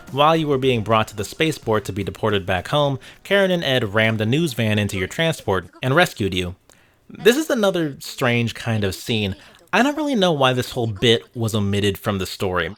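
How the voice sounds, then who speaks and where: A man's voice: fairly low pitch, a bit bright. A man narrating a casual scripted video game retrospective, with wry, sarcastic asides.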